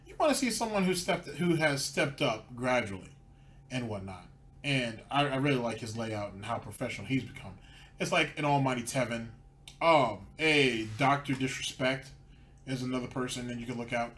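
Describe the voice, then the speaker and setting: A man's voice: medium pitch, a bit bright. Sarcastic ranting man, faint hiss. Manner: sarcastic, mocking. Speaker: commentary channel host. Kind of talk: ranting commentary over clips.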